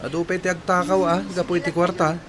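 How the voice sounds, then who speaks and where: A man's voice: high voice, level tone, fast talker, background noise, lightly acted. An actor playing an earnest, dutiful young village man in a radio drama. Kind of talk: Philippine radio drama acting.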